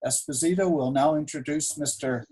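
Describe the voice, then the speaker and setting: A husky-voiced man acts as co-host on a video call. He talks casually and haltingly, teasing as he hands over to the next person. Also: on the high side, warm tone, rough recording. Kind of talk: casual, joking hosting.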